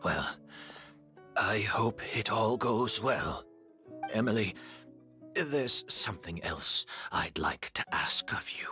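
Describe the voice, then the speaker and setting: Slow, weary, resigned male mentor. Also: lowish voice, lively intonation, pushed and bright, faint music behind, exaggerated voice. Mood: gentle, pleading. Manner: slow, halting, deliberate delivery. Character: weary, resigned male teacher and mentor facing his end. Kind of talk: video game voice acting.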